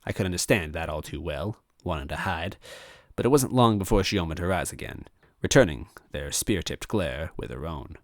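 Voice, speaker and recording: A man reading a first-person story aloud as an animated narrator. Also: deep voice, normal speed, no echo, clean studio sound. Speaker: story reader.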